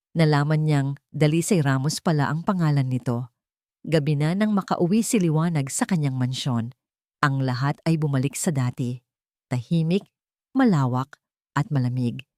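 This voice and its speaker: A Filipino woman with a fairly low voice reads a dramatic story in a measured, sentimental style. The sound is dry and close, with little room around it. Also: warm tone, dry recording. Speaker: narrator of a romance story channel. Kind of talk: dramatic scripted audio story narration in Tagalog.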